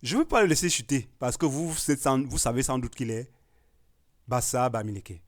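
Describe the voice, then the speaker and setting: A man's voice: medium pitch, lively intonation, moderate pace. A man from Cameroon, an artist arguing hard in a panel discussion. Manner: emphatic, rising to forceful declarations.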